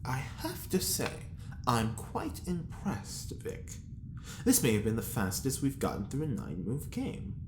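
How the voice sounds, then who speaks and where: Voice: mid-range voice, wildly swooping pitch, a little slow. An actor playing a pompous, smug prisoner who loves chess, in an audio drama, with a wry, teasing manner. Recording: roomy, echoing, faint background noise.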